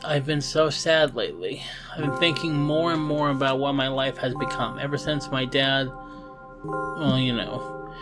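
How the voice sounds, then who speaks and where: A man's voice: medium pitch, lightly acted. Man reading as a sad, confiding young girl. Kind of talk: reading visual novel dialogue aloud.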